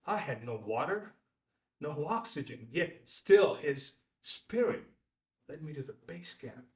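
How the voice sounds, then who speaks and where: A man's voice: on the high side, big pitch swings, takes it slowly, darkish tone. Man acting a slick charismatic mountaineer, echoey room. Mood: solemn, reverent. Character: charismatic best-selling mountaineer, late thirties, slick and self-mythologizing. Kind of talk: dramatic acting at a table read. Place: indoor room at a filmed table read. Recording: echoey room, distant microphone.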